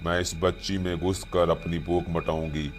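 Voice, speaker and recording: A man voicing a hungry, cruel flesh-eating witch in a horror story, in a deep, distorted, gloating voice with menace. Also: flat delivery, normal speed, close to an everyday voice. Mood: scheming, gloating. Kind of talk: Hindi horror storybook voice acting. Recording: loud music behind.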